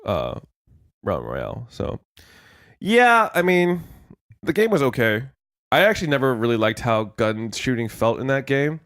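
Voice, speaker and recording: Young man, congested, casual rambling, mid pitch, dry close mic. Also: sing-song, unhurried, a bit bright, dry recording. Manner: rambling, lots of fillers and swearing. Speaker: podcast co-host. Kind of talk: casual gaming podcast chat.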